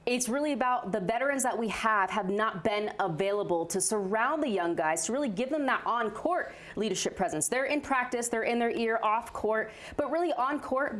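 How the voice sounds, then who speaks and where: A woman's voice: a little high, normal speed, hardly any pauses. A woman giving sports analysis on a talk show as a reporter, making her points emphatically.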